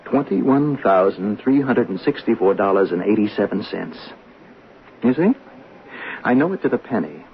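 An actor playing a desperate, defensive gambling addict accused of murder, halting and pleading, in 1970s radio drama style. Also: male voice, fairly low pitch, expressive. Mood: bitter, defeated. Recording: tinny band-limited noisy 1970s radio recording.

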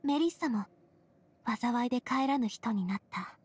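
An actress playing a gentle, earnest young lady who leads others, in a Japanese video game. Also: fairly high pitch, normal speed, slightly rough, no echo. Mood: sorrowful.

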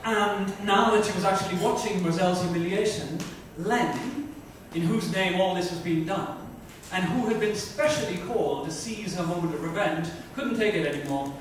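A woman's voice: fairly low pitch, moderate pace. A woman giving a dramatic reading of literary fiction. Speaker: book reader. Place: indoor club lounge. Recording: echoey room, distant mic, faint noise.

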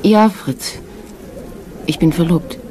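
A kind, curious young theatre player in a radio drama. She is gentle and a little tentative. Clear background noise surrounds her voice. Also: lowish voice, takes it slowly. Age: young adult.

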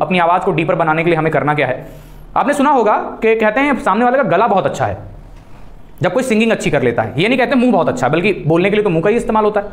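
A man in his thirties with an Indian accent, instructing a class emphatically as a voice coach. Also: high voice. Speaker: voice and spoken English coach. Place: small indoor classroom. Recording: echoey room.

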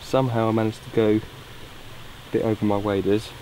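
Low-voiced young British man outdoors. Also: flat delivery, moderate pace, warm tone, poor audio. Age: young adult. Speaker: angler presenting a fishing video. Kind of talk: casual outdoor vlog. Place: outdoors at a lakeside at night. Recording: clear background noise outdoors.

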